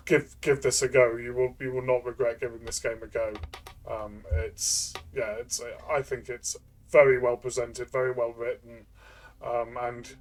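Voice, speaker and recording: A man co-hosting a casual game review, speaking in a measured way and repeating points for emphasis. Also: mid-range voice. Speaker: co-host, player reviewing tabletop RPGs.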